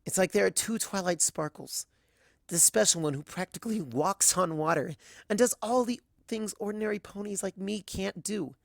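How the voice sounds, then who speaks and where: A reader acts out a young pony mare from a storybook, timid and apologetic. The voice is raised in pitch and slightly creaky, halting and pleading as it goes, recorded dry and close. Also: no echo, lightly acted. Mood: wistful. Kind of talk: dramatic audiobook fan fiction reading.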